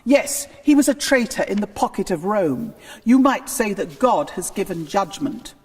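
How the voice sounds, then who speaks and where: In a reverberant hall, a woman reads as a troubled British king of Tudor times, proud and torn by doubt. The voice is slightly husky, in a storybook telling. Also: medium pitch, very animated, moderate pace, darkish tone. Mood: hardened.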